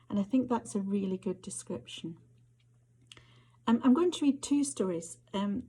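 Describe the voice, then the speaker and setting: A woman with a Scottish accent reading short stories aloud as an author, her voice husky. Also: medium pitch, a little mellow. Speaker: author reading their fiction.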